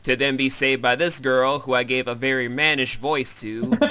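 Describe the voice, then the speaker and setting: Bright, clear-voiced man recapping a game. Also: mid-range voice, steady tone, moderate pace. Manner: wry, sweary, rambling. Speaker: let's play host. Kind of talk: casual visual novel commentary and recap.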